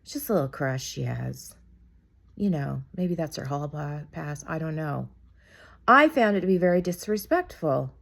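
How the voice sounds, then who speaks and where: Raspy-voiced sick woman, low and gravelly. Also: lively intonation, normal speed, a bit bright. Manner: chatty, opinionated, rambling with asides. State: sick, raspy from a cold, coughing. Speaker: vlogger. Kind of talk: casual gossip vlog to camera.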